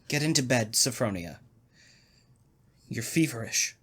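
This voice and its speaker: An actor playing a controlling, falsely solicitous husband in a suspense drama, commanding in manner. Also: male voice, medium pitch, expressive, measured pace, bright, forceful tone, slightly rough, full character voice. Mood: coolly solicitous. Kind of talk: old-time radio style suspense drama.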